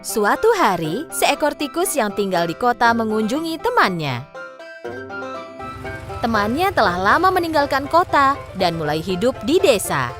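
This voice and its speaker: A woman's voice: on the high side, sing-song, a little slow. A woman narrating a scripted children's story. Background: music.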